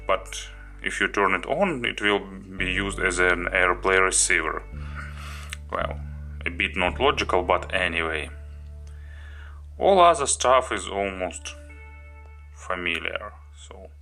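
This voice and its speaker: Deep, slow-paced man reviewing a gadget. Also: sharp tone. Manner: halting, listing items one by one. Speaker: product reviewer, host. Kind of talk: unscripted product review walkthrough.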